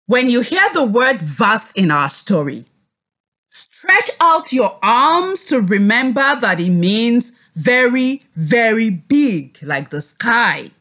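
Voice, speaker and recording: A woman with a high, very lively voice teaches young children through a story. She speaks extremely slowly and deliberately, as a teacher would, with music behind her. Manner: slow, deliberate, expressive, prompting listeners to repeat. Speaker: teacher leading a children's read-aloud lesson.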